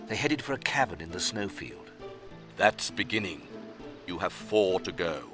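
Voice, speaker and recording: A man playing a solemn, wise old storytelling spirit in a fantasy game fan dub, speaking formally and gravely. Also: deep voice, animated, moderate pace. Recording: loud music under the voice. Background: music.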